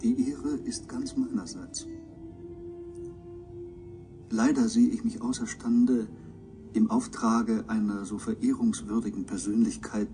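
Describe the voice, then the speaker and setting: An actor in a German radio drama playing a ceremonious, wry alien scholar with measured, elaborately polite speech. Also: male voice, on the low side, mellow, far from the mic. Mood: solemn, humble.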